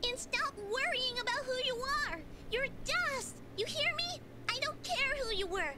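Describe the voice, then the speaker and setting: A woman voicing a small excitable female creature sidekick in a game, with a squeaky emotional delivery. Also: a little slow, noisy background. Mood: pleading. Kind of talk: game cutscene dialogue.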